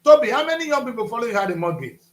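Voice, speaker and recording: A man's voice: extremely high pitch, very animated, racing, reverberant. A man, hosting a studio talk show and lecturing with rhetorical questions. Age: 50s. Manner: preachy, rhetorical questions, emphatic.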